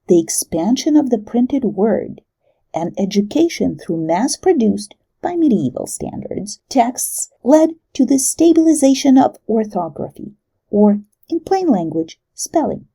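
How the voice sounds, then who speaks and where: Woman lecturer, lively measured delivery, dark gravelly tone. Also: fairly high pitch. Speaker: university lecturer. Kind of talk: recorded lecture narration.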